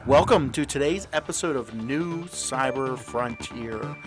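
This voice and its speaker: A man's voice: medium pitch, a little slow. A man hosting an interview podcast, talking casually with many fillers and restarts. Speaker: podcast host. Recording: voice over background music.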